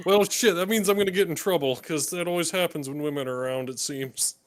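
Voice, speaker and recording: A man's voice: fairly high pitch, quick pace. A man playing a tabletop game, chatting and joking casually with the group.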